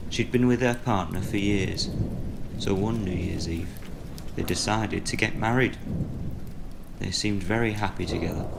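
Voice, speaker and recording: A man plays a polite father in a storybook horror tale. The voice is deep and creaky, gentle and measured in delivery, with slight background noise. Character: polite, reassuring father telling a bedtime legend. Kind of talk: character voice within a spoken horror story.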